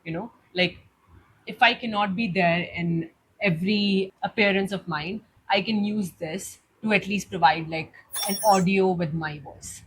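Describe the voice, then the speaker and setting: A woman's voice: medium pitch, a little slow, a little hiss or hum, rough recording. A young woman with an Indian accent hosting a tutorial, chatting through a step-by-step demo and leaning on "like" as she explains. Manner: chatty, leans on "like" while explaining. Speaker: tutorial host.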